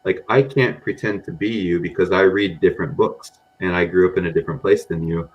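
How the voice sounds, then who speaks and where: A man's voice: deep voice, steady tone, brisk, muffled recording. A man speaking as a guest on a data podcast. He rambles casually, with a mixed American accent, and often says "you know" and "um". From grew up in Japan among Americans.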